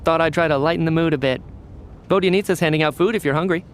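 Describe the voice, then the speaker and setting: A man's voice: on the high side, fast talker, noisy background, lightly acted. An actor playing a breezy, joking young man who teases cheerfully in a video game. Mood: playful.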